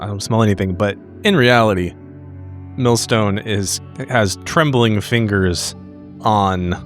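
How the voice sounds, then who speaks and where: A man playing a tabletop roleplaying game, casually narrating his character with deliberate phrasing and some swearing. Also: lowish voice. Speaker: tabletop roleplay podcast player.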